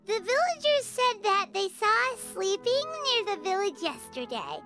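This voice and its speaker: A tiny childlike fairy companion from a cartoonish game. The voice is extremely high and squeaky, chirpy and chatty. Faint music plays behind. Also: female voice, sing-song, moderate pace, pushed and bright, exaggerated voice. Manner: refers to self in third person, chatty. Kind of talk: scripted game voice acting. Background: playful background music.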